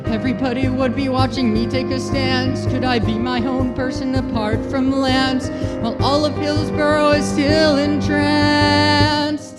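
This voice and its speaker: A woman's voice: sits high, flat delivery, unhurried. A woman playing a hesitant teenage boy, singing musical theatre numbers. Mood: uncertain. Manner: sung and spoken, hesitant. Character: unsure teenage boy torn from his friend. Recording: live musical with loud backing music.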